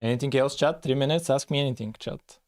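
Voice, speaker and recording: A man, talking casually on a live coding stream, thinking aloud with frequent pauses and "uh"s. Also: mid-range voice. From Bulgaria. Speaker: live-streaming game developer.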